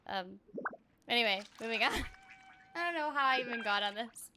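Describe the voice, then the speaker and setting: A woman's voice: on the high side, expressive, moderate pace, background noise. A young woman chatting casually on a livestream, playful and exuberant, theatrically acting out little quotes. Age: young adult. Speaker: streamer and voice actor. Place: small indoor room, streaming over a game.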